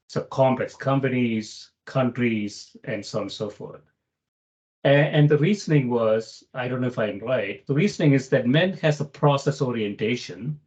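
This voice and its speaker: Man, interview host, average pitch, conversational rambling, muffled recording. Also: a little mellow. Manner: rambling, loosely builds his argument. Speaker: podcast host.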